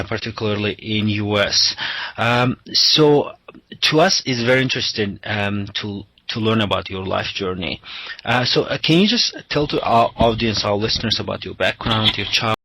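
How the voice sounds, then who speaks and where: A man's voice: fairly low pitch, bright, forceful tone, dry recording, poor audio. A man hosting a radio interview, speaking hesitantly with many "uh" and "um" fillers and some non-native English grammar.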